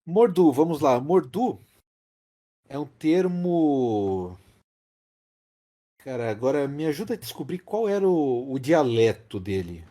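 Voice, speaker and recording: A man's voice: a little high, sing-song, slow pace, pauses often, mellow. A Brazilian man co-hosting a casual film discussion, explaining things emphatically and repeating key phrases. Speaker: podcast host.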